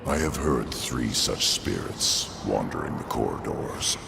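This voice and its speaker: A stern, weary spirit who judges the dead in a game. His voice is extremely low and heavily gravelly, with solemn authority. Game ambience hisses underneath. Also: very animated, unhurried, full character voice. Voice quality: gravelly, very deep. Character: ancient male judge of lost souls, stern and weary. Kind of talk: game cutscene voice acting.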